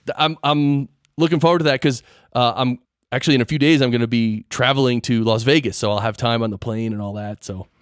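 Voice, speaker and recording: A man's voice: mid-range voice, steady tone, quick pace, clear, resonant tone, dry recording, studio quality. A man hosting a podcast in casual interview chat, playful and slangy, given to exclamations. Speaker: podcast host.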